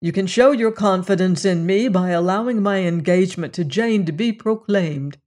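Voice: medium pitch, moderate pace, a little mellow, close to an everyday voice. A reader voicing an earnest young man who pleads his case with strained restraint. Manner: earnest, restrained, then defiant.

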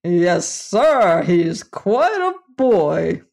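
A brash, lecherous old boss booms out his words in a slow, hearty, backslapping way, as a woman reading the story puts him on. The voice sits fairly low. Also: very animated, creaky, darkish tone, full character voice. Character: brash, lecherous older male paint-company boss.

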